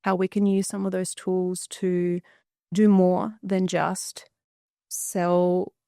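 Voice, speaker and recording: A woman's voice: lowish voice, flat delivery, takes it slowly, warm tone, smooth, no room sound, studio quality. A woman in her thirties, raised in Australia, telling her story fluently as a podcast guest. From Bulgaria, raised in Australia. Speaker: guest entrepreneur. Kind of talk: podcast interview.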